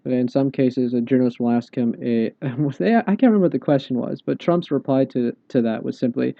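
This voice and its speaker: A man co-hosting a podcast with casual political commentary in measured, slightly formal phrasing. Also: medium pitch, gravelly, dry recording, lo-fi sound. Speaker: podcast co-host.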